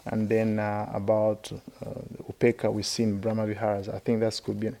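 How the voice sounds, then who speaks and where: A middle-aged Buddhist monk from Uganda teaches in a slow, halting way, often pausing on fillers as he explains. His voice sits low and carries a gravelly edge. He gives the talk at a meditation retreat. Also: completely flat delivery, dark tone. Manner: laughs while telling stories. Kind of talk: dharma talk.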